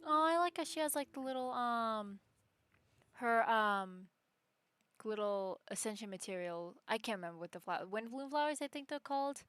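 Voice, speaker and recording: A woman's voice: on the high side, animated, moderate pace, fairly bright tone, smooth, dry recording. A woman game streamer chatting casually on a livestream, muttering to herself as she plays over game music. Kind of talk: casual livestream gameplay chat.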